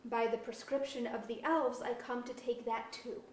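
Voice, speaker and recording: An actress playing an imperious, vengeful conquering sorceress in a staged reading of an archaic verse drama. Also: female voice, a little high, steady tone, normal speed, darkish tone, natural voice. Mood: cold, resolute. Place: museum auditorium, staged reading. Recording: echoey auditorium, distant mic.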